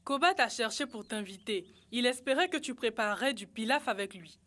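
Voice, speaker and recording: A woman voicing a sensible, careful young friend in a children's educational cartoon, explaining things clearly. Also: on the high side, animated, a little fast, sharp tone. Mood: matter-of-fact.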